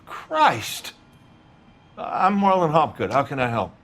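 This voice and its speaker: Jumpy, animated prop store owner. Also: male voice, on the high side, slow pace, full character voice. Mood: startled. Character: startled, shifty 1940s prop store owner.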